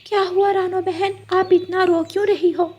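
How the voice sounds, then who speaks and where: A kind storybook parrot, voiced by a woman, speaking in a high voice. She is sympathetic and comforting. Birdsong and noise play in the background. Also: level tone, moderate pace, warm tone. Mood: concerned. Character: kind, reassuring female parrot friend.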